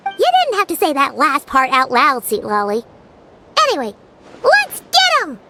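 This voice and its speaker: A woman voicing a tiny, childlike, excitable fairy companion who is blunt and cheeky, in a video game. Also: sits high, moderate pace, a little hiss or hum, heavily acted. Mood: excited. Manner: excitable, teasing.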